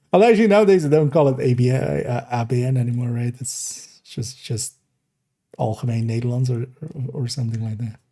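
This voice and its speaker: A young man from the Netherlands, streaming live and thinking aloud in a relaxed, meandering way while reviewing his work. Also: mid-range voice, normal speed. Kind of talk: casual live-stream commentary.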